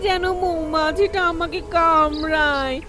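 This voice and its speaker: A woman's voice: high voice, fairly even intonation, unhurried. A woman playing a lazy, sly, grabby young daughter-in-law in a fairy-tale cartoon, whiny and boastful. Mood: pained, accusing.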